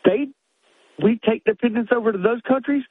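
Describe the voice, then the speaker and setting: A man's voice: very high voice, brisk, no echo, narrow, tinny audio. An American man in his sixties calling a radio show by phone, explaining his point insistently and off the cuff. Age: 60s. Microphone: telephone.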